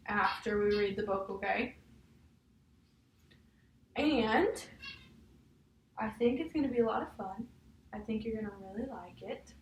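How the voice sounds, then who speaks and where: A young woman reading a picture book aloud to a child, in an encouraging way with questions to check in. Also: medium pitch. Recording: echoey room, distant mic, faint noise.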